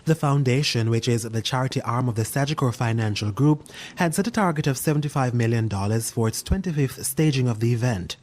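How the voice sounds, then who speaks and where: A man with a mid-range voice presents scripted business headlines. His delivery is crisp and measured, in the manner of a newsreader. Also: mid-range voice, animated, a little mellow. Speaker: news presenter. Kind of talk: scripted news voice-over.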